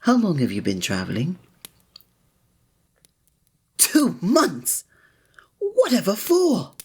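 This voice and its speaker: Man acting a breathy, courteous fantasy innkeeper. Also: sits high, wildly swooping pitch, takes it slowly, full character voice. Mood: concerned, curious, then shocked, sympathetic. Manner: formal old-fashioned diction, gentle and attentive. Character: kindly, flirty male village innkeeper, courteous and tender. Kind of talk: scripted roleplay audio drama, one-sided conversation with the listener.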